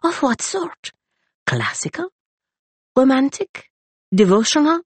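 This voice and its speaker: A man's voice: extremely high pitch, sing-song, slow, breathy edge, no room sound, voice pushed up, exaggerated voice. A woman doing an effusive little librarian man. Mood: delighted. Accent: Spanish. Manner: eager, effusive, lilting with slight lisp. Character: small cheerful foreign-born London librarian.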